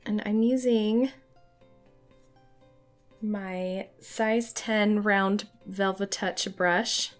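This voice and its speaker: A young woman with a hoarse voice from a cold, chatting casually as she teaches a watercolor painting tutorial. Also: mid-range voice, steady tone, extremely slow, pauses often, faint music behind. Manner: friendly, chatty, light laughs.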